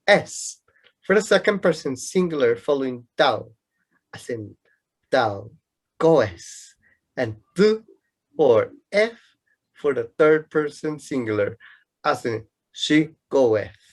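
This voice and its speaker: An actor playing a know-it-all young student in a radio play, lecturing pedantically and with some exasperation in a husky voice. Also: mid-range voice, very animated, takes it slowly, long pauses. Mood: pedantic. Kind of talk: amateur radio play acting.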